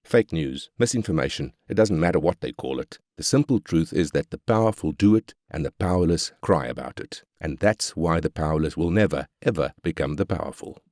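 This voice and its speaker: Very deep-voiced, ruthless tycoon. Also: male voice, lively intonation, brisk, few pauses, darkish tone, no echo, studio quality. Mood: menacing, contemptuous. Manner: brash, cynical, commanding. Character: ruthless, arrogant South African corporate tycoon. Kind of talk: scripted game character quotes.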